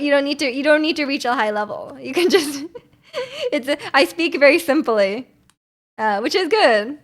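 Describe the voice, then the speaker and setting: A woman in her twenties, a native Japanese speaker, chatting casually to livestream viewers in a giggly, rambling, self-deprecating way. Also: high-pitched. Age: 20s.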